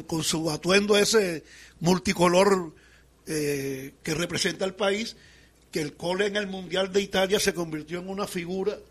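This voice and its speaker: A man's voice: high-pitched, fairly bright tone, husky. A middle-aged man with a Caribbean Colombian accent, reminiscing conversationally as a panelist in a sports talk. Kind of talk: conversational reminiscing about football.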